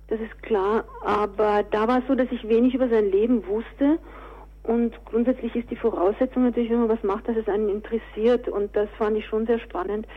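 A woman's voice: mid-range voice, narrow, tinny audio. A woman answering interview questions conversationally as an author and director, on a thin radio recording.

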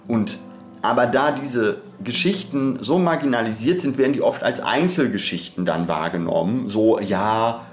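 This is a podcast co-host with a fairly low-pitched voice. The delivery is conversational and reflective, in an academic register. Sentences branch out, break off and get reworded as the thought develops. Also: darkish tone. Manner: discursive, hesitant, self-interrupting academic talk.

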